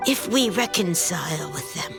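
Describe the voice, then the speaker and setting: A woman's voice: fairly low pitch, animated, moderate pace, vocal fry, music in the background. A woman playing a wary villager in a video game, solemn and insistent as she counsels her leader. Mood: grave, pleading.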